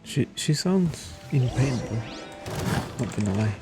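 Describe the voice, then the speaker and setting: A man's voice: medium pitch, lively intonation, slow pace, loud music behind the voice. A young man giving casual gameplay commentary in short, slangy, murmured reactions.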